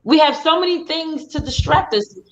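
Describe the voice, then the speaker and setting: A woman hosting a casual live stream, chatty and quick to agree, often restarting her sentences. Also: sits high. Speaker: host of a live talk stream. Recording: slightly dull lo-fi stream audio.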